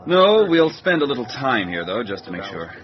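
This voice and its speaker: Gruff, sardonic submarine captain, swinging delivery acted by a man. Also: medium pitch, very animated, moderate pace, lightly acted. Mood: commanding. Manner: sarcastic, exasperated, commanding. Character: gruff, sardonic, short-tempered male navy submarine captain. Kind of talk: radio drama acting. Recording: dull lo-fi radio recording with hiss.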